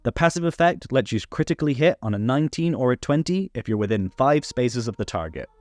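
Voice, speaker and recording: A man's voice: medium pitch, expressive, brisk, dry recording, studio quality. A man narrating a scripted tabletop game rules guide, instructional with playful asides. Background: background music.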